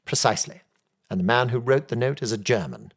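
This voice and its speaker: Man acting as brilliant male detective, confident, theatrical, emphatic delivery, husky tone, storybook reading. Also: medium pitch, big pitch swings. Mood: keen, triumphant. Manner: brisk, emphatic, self-assured.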